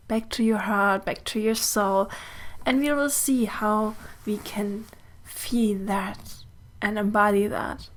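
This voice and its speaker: A German woman, a native German speaker, hosting a podcast in English in a soft, gentle, often breathy and hushed manner. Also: medium pitch. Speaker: podcast host and mentor. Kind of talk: slow reflective solo podcast talk.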